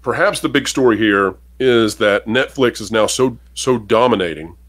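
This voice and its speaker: Opinionated low-voiced man. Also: moderate pace, a bit bright. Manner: opinionated, emphatic, talks straight to viewers. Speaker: podcast co-host. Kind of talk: casual commentary reading an article.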